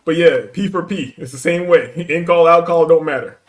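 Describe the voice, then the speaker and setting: A man's voice: on the high side, moderate pace. A man hosting a reaction stream, talking bluntly in profane street slang. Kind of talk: casual reaction commentary.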